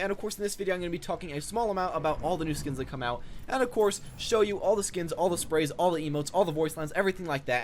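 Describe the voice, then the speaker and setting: A man's voice: fairly high pitch, slight background noise. A young man giving casual, rambling off-the-cuff commentary as a gaming video host.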